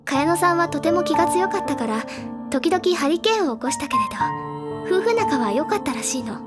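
An actress playing a gentle, bookish teenage schoolgirl in a Japanese audio drama, with soft feminine phrasing. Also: extremely high pitch, lively intonation, a little fast. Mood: gentle, wistful. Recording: music behind the voice. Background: clear background music.

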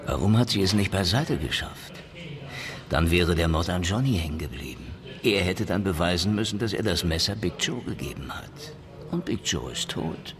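An actor playing a cool, reasoning police detective in a radio crime drama. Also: male voice, low voice, animated, normal speed, crowd noise.

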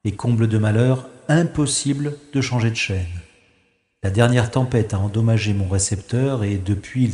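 A man's voice: medium pitch, a little mellow, reverberant, natural voice. A man playing a grumbling, world-weary narrator in a sci-fi audio drama, complaining in dry, wordy sentences.

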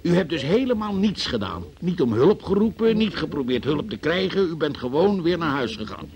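An actor playing a formal, sceptical examining magistrate who questions insistently in a Dutch radio play. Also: male voice, fairly high pitch, big pitch swings, moderate pace, a little mellow, muffled recording. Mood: indignant, sceptical. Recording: noisy old radio play recording.

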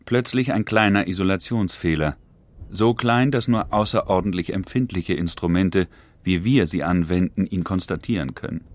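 An actor playing an exacting nineteenth-century engineer, giving reports in formal, precise diction as a dramatized reading. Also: male voice, sits low, no room sound, close to an everyday voice. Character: 19th-century male engineer reporting on cable laying, exacting.

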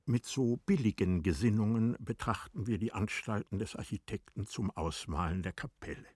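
A man reads literary narration for a radio play in a measured, formal way. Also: fairly low pitch, darkish tone, breathy edge, no echo, studio quality.

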